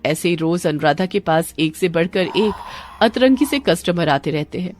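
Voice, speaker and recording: A woman's voice: lowish voice, normal speed, a little mellow. A woman narrating a scripted story as a storyteller, with music behind her.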